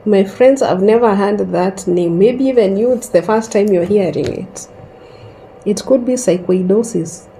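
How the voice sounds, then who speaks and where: Woman in her 30s telling her story real slow, soft warm voice, little hiss behind. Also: medium pitch, rough recording. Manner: slow and reflective, with long pauses. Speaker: host sharing a personal story. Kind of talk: casual personal storytelling.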